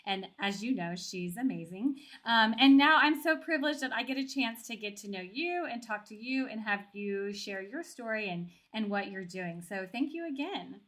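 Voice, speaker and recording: A woman's voice: a little high, expressive, moderate pace, hardly any pauses, fairly bright tone. A woman hosting an interview podcast, moving between scripted narration and friendly conversation. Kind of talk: warm-up scripted intro, then conversational interview.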